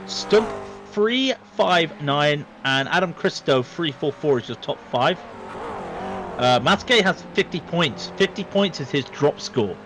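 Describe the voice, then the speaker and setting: Guy commentating a sim race live, kinda high voice, lots of uhs while doing the maths. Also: noisy background. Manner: works out numbers aloud with many fillers.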